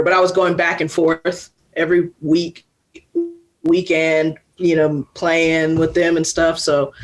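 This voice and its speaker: Earnest young woman musician. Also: low-pitched. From Atlanta, USA. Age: young adult. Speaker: band musician. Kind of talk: casual interview answers.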